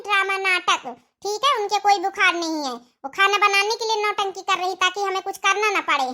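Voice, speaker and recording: A woman acts out a newlywed cartoon daughter-in-law with an extremely high, bright voice. She is bossy and argumentative, pushing her point in a scolding, complaining manner. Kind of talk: cartoon comedy dialogue.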